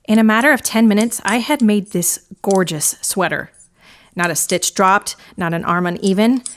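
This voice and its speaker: Casting: actress for a dramatic, frazzled woman confessing a strange bargain, medium pitch, wry and theatrical, audio drama monologue. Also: normal speed, lightly acted. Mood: amazed, relieved.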